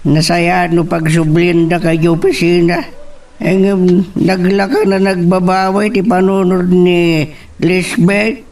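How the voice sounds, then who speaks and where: An older Ilocano father, acted by a man, speaks very slowly and gently in a radio-style drama. His voice sits a bit high and sounds set back from the microphone. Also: little pitch movement, recorded from a distance. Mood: gently advising. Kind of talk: amateur audio drama acting.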